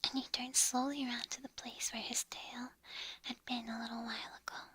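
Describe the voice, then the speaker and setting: A woman softly whispering a storybook aloud on camera, often breaking into giggles, in an ASMR style. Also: fairly high pitch, level tone, brisk, husky, dry recording. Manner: soft whispering with frequent giggles. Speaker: storybook reader. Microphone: on camera from the book up.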